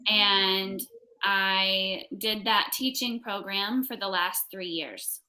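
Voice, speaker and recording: A woman's voice: medium pitch, steady tone, slow pace, pushed and bright, rough recording. A young American woman tutoring English in a casual one-on-one conversation, phrasing things simply for a learner. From United States.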